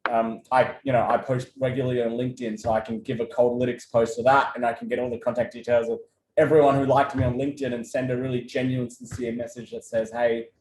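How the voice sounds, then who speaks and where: A man's voice: medium pitch, level tone, fast talker, darkish tone, a little hiss or hum. A man who co-founded an agency, answering interview questions in a loose, conversational way with plenty of fillers and restarts. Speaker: agency co-founder giving customer feedback. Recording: echoey room with faint ambience.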